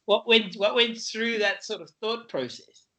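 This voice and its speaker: Podcast host, man, bright voice, meandering hesitant questions. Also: high voice, measured pace. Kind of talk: podcast interview.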